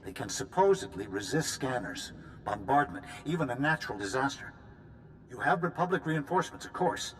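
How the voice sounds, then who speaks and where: A man's voice: mid-range voice, lively intonation, normal speed, fairly bright tone, a little hiss or hum, lightly acted. A man playing a resolute general in a video game, giving an authoritative, businesslike military briefing. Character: resolute male general briefing troops for war.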